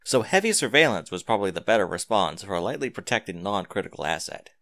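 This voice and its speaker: Man narrating a story with very animated pitch. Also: fast talker, no room sound. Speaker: fan fiction narrator. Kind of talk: scripted dramatic story narration.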